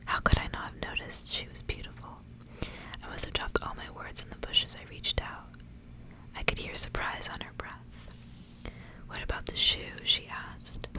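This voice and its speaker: A woman whispering softly and breathily as an ASMR host, reading stories and chatting casually. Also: low-quality recording. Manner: whispering throughout, soft and breathy. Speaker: ASMR reader and channel host.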